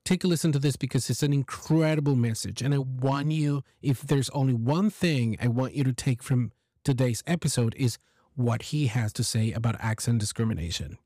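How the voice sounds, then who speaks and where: A man's voice: mid-range voice, normal speed, mellow, dry recording, studio quality. Earnest male podcast host. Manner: earnest, emphatic, persuasive. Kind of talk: casual podcast commentary.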